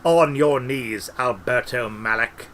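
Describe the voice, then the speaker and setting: A man playing a young man turning cold and deathly in an audiobook, speaking in a terse, deliberate, menacing way. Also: mid-range voice, a bit bright, a little hiss or hum. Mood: cold, commanding.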